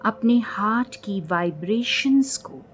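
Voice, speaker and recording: An Indian woman leads a guided meditation at an extremely slow pace. She speaks gently in a medium-pitched voice and leaves long pauses between phrases. Faint music plays behind her. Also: animated.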